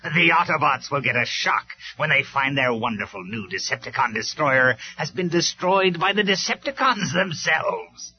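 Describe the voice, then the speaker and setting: A man's voice: on the high side, lively intonation, moderate pace, hardly any pauses, pitched above the performer's own voice, close to an everyday voice. A man voicing a ruthless male robot warlord who snaps and barks his orders in a storybook reading. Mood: gloating. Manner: barking, snapping orders. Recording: slightly dull lo-fi recording with faint music.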